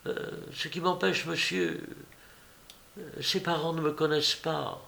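A shy, lovesick young man of an audiobook comedy, acted out by a male reader. His voice sits higher, and he speaks hesitantly and politely, stammering as if easily flustered. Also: fairly even intonation, unhurried, vocal fry, sharp tone, exaggerated voice. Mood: shy, lovestruck. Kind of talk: audiobook character voice.

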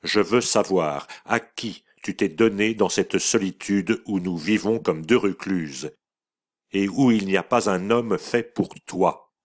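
A man's voice: low voice, expressive, unhurried, husky, heavily acted. A man reading an audiobook voices a harsh, imperious mother turned accuser, speaking in a cold, relentless, commanding way.

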